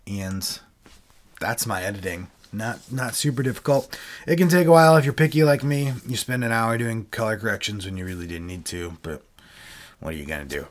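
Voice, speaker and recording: A man with a fairly low voice, roughened by a cold, talks casually into a desk mic. He walks through his process step by step, loose and conversational, like a friendly tutorial stream. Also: fairly quick. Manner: relaxed, chatty, explains step by step. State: sick, voice lower than usual. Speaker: video creator and worship leader hosting a livestream. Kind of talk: casual how-to livestream talk. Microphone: desk microphone, webcam video.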